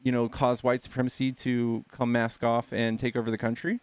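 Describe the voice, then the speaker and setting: A man's voice: mid-range voice, little pitch movement, brisk, clear, resonant tone, no room sound. Sarcastic young man on a dry mic. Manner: exasperated, sarcastic, swearing, says "dude" and "dog". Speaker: debater. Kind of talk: heated political debate.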